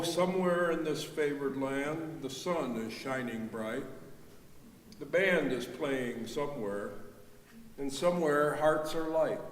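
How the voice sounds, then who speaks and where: Male verse reader, fairly high voice, measured and dramatic, distant in a reverberant hall. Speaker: readers theater performer. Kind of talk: dramatic poetry recitation. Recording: echoey hall, far from mic.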